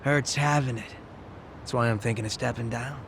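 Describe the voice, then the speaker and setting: A man voicing a weary gang leader, tough but soft-hearted, in a game cutscene. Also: mid-range voice, steady tone, moderate pace, slight background noise, natural voice. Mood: tired. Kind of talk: video game cutscene acting. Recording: game audio with music behind.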